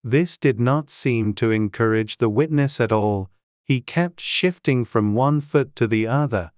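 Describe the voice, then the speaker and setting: Storybook narrator reading a scripted tale, medium pitch, very dry recording. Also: a little mellow, no echo. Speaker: audiobook narrator.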